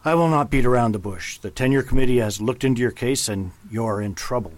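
A man playing a cold, formal university chancellor in a radio drama, measured and distant. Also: medium pitch, dry recording, lightly acted. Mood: stern.

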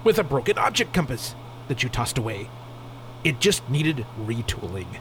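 A man's voice: medium pitch, sing-song, moderate pace, a bit bright, a little hiss or hum. A man playing a proud, formal alien warrior husband with stilted phrasing in a space opera radio drama. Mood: matter-of-fact.

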